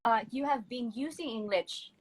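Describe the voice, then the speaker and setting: Attentive woman host, fairly high voice. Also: normal speed, over a noisy crowd. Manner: attentive, frequent "yeah" and "mm-hmm" backchannels. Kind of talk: casual live-stream interview.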